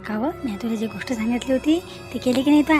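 A woman acts out a proud village mother-in-law from a comedy cartoon. She talks fast. Her manner is bossy, emphatic and nagging. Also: a little high, music in the background, close to an everyday voice. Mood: eager questioning. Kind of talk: Marathi comedy cartoon dialogue.

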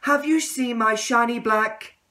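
A man's voice: extremely high pitch, measured pace, pushed and bright, recorded from a distance, voice pushed up, heavily acted. A man voicing a sweet but reproachful young female caterpillar, lovestruck and demanding, in a children's storybook. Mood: polite.

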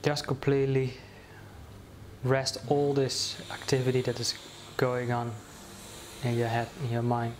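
A man leading a guided meditation, speaking slowly and soothingly with many pauses and restarts. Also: medium pitch, monotone, a little hiss or hum.

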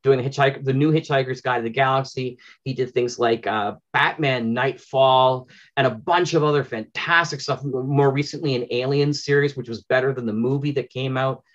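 A man in his fifties with a Canadian accent chats casually and enthusiastically, telling anecdotes with halting, repeated words over a video call. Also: medium pitch, steady tone, fairly bright tone. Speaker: interview guest, audio drama producer. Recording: slightly dull, lo-fi video call.

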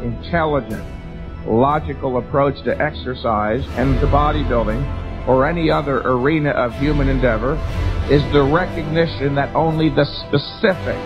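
A man lecturing in a deliberate, formal and wordy way about a logical approach to training. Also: medium pitch, muffled recording. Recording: speech over loud music. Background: music.

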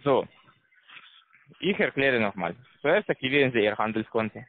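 A man with a foreign accent, not a native German speaker, giving a halting, repetitive telephone sales pitch as a call center salesman. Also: expressive, slight background noise. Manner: halting, repetitive, broken grammar. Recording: tinny narrow phone line.

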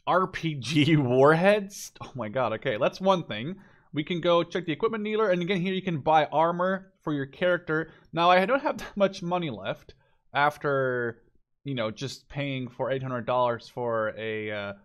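A man's voice: a little high, normal speed. A man giving casual, unscripted commentary on a game as he plays, informally thinking aloud. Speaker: gameplay video host.

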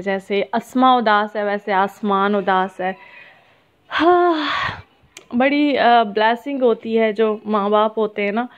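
A woman in her thirties with a Pakistani accent, sounding sad as she gives a casual, halting vlog voice-over sprinkled with English words. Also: a little high. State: sad, downcast. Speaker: family vlogger. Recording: muffled phone-like narrow-band sound.

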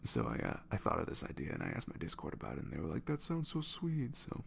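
A man speaking softly and casually as he introduces his ASMR audio roleplay. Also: very deep voice, sing-song, very fast, vocal fry, breathy edge.

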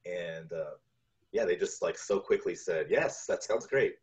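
A man in his forties telling stories casually as an interview guest, halting as he goes, over a video call. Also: deep voice, expressive, a little mellow. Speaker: guest, composer. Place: room at home, indoors, on a video call. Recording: dull, lo-fi video-call audio.

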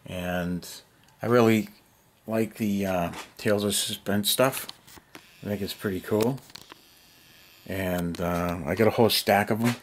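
A man's voice: on the low side, steady tone, a little slow, long pauses, slight background noise. A middle-aged man chatting casually and rambling while he paints miniatures in a cluttered basement hobby room, talking to a camera on the table. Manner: rambling, lots of "you know". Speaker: hobby vlogger. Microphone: camera on the table nearby.

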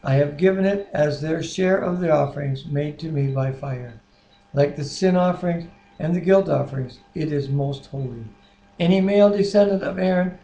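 A man in his sixties reading scripture aloud in a measured, deliberate way, with brief bits of chat. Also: on the high side, dark tone. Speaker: Bible reader and co-host. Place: living room at home, indoors. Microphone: webcam at arm's length. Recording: roomy, echoing webcam sound.

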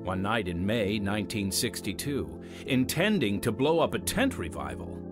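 Animated male radio drama host over music. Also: fairly low pitch, normal speed. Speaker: podcast host and narrator. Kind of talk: scripted radio drama narration. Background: music under the voice.

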